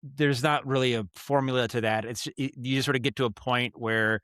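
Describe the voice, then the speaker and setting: A man with a smooth, clear voice in a dry, close recording. He talks casually as an interview guest, working out his ideas as he goes and often doubling back mid-sentence. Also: medium pitch, steady tone, a bit bright, no echo. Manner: halting, thinking aloud, circling back on thoughts. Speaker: podcast guest, writer and director of audio dramas.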